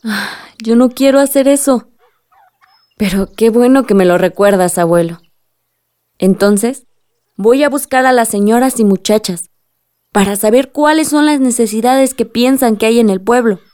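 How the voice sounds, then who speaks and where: High-voiced eager young Mexican granddaughter, acted by a woman. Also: normal speed, dark tone, natural voice. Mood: warm, grateful. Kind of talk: Spanish-language radio drama acting.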